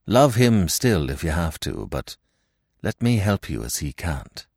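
A lovestruck young gentleman in an audiobook, played by a man. His lowish voice moves expressively up and down. He sounds earnest, intense and protective. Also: very animated, unhurried, vocal fry, dry recording, clean studio sound, heavily acted. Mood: soothing. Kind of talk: audiobook character dialogue.

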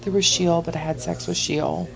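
A podcast guest speaks in a fairly low-pitched woman's voice. She tells frank personal stories in a rambling, casual flow, peppered with "like" and unbothered by the subject. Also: steady tone, normal speed, gravelly, poor audio. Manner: rambling, heavy use of "like"; blunt and unembarrassed. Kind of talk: candid casual storytelling.

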